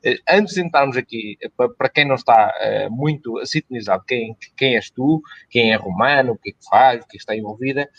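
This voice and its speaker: A man's voice: mid-range voice, fairly quick, hardly any pauses, fairly bright tone, slightly rough, no echo. A man with a European Portuguese accent hosting a live online interview, asking long rambling questions full of restarts. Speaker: host, interviewer. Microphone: video call. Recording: dry, dull lo-fi sound.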